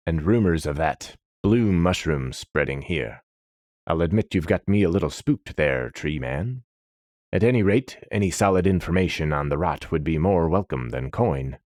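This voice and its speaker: Old battle-scarred general, male narrator acting: low rumble, blunt authority, fantasy audio story. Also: expressive, normal speed, a little mellow, no room sound, studio quality. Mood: grave, measured. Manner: commanding, blunt. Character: scarred grey-bearded old general, gruff and commanding.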